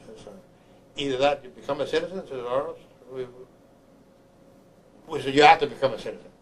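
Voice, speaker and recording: Halting older man, noisy recording. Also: mid-range voice. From Honduras. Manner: halting, repetitive, searching for words. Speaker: army veteran. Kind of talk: oral history recollection. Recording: clear background noise, room ambience.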